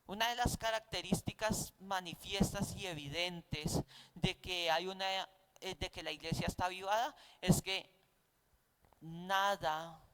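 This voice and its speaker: A man's voice: high-pitched, fairly even intonation, unhurried, a bit bright, clean tone, poor audio. A man with a Colombian accent teaching a Bible class in a didactic, conversational way, often posing rhetorical questions.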